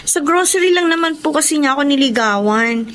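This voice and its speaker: Sincere, respectful young working woman with worries, high voice, Filipino radio drama. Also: animated, brisk, fairly bright tone. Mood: apologetic, sincere. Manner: polite, deferential. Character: earnest, troubled young working daughter with a secret boyfriend.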